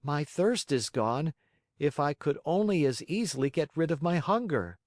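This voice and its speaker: Little wooden puppet boy, high voice, whiny and dramatic, pitch jumps all over, storybook style. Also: sing-song, unhurried, no room sound, clean studio sound, exaggerated voice. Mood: grumbling. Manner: pleading, emphatic, exclaiming. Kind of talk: audiobook character acting.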